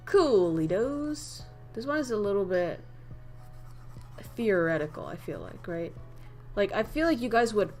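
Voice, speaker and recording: A young woman from northern Vietnam with a Vietnamese accent, chatting casually on a livestream while she teaches idioms and laughs often. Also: medium pitch. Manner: chatty, laughs often, reads chat aloud.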